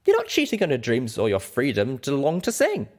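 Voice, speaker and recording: A man's voice: medium pitch, wildly swooping pitch, brisk, darkish tone, pitched above the performer's own voice. A man voicing a small young mare, an earnest, caring and plucky heroine in a story reading. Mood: reassuring.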